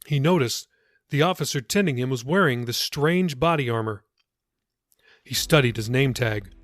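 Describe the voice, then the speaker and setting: A man's voice: medium pitch, normal speed, a bit bright, no echo, studio quality. A man reading his own novel as scripted audiobook narration.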